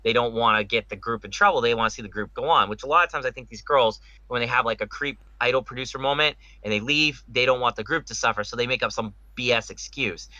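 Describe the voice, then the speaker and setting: A man's voice: mid-range voice, fast, few pauses, pushed and bright, dry recording, dull audio. A man hosting a casual opinion podcast, speaking emphatically with rhetorical questions and repetition. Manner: emphatic, rhetorical, repeats himself. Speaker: podcast host. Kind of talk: opinionated casual panel discussion.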